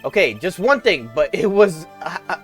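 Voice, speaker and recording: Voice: medium pitch, very animated, moderate pace, soft background music, close to an everyday voice. A streamer voicing a jumpy young male rookie police officer who nervously ends every line with "sir", while reading game dialogue aloud. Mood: flustered.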